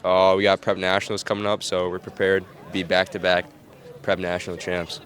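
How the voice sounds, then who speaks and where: Deep, flat young athlete. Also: male voice, a little fast. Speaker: lacrosse player. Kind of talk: casual interview answers.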